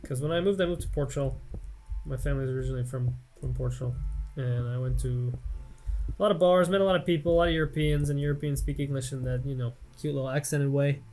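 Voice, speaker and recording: A young American man in his late twenties talks at a middle pitch, drifting between thoughts in loose gameplay commentary. He pokes fun at himself as he goes. Faint game music plays softly behind him. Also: steady tone, quick pace, poor audio. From United States. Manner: rambling, self-mocking, thinking aloud. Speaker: Let's Play gamer.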